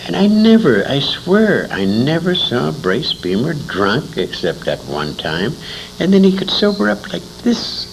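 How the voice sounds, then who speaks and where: A man's voice: a little high, huge pitch swings, unhurried, creaky. An older American man reminiscing in an interview, telling anecdotes in a rambling, emphatic way with asides to his listener. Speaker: interviewee, storyteller. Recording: noisy amateur 1980s convention interview recording.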